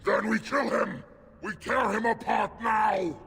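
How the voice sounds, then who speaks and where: An actor playing a bloodthirsty werewolf beast in a fantasy game, with a raspy, growling, savage voice. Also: male voice, high voice, fairly even intonation, measured pace, fairly bright tone, shouting, a little hiss or hum, exaggerated voice. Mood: enraged. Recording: heavy cavernous reverb.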